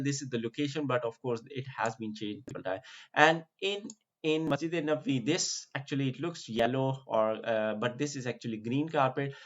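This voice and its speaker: A man giving a casual screen-share tour, explaining slowly with many fillers and restarts. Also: medium pitch, muffled recording. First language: not Arabic. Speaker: vlogger giving a virtual tour.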